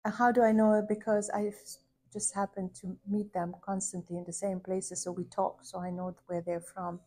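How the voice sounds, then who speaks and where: Woman, soft thoughtful storytelling, lots of restarts and pauses, mid-pitched. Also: flat delivery. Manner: hesitant, searching for words, many restarts; soft and contemplative, rising to warm emphasis. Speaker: podcast host recounting a visit. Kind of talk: reflective personal storytelling.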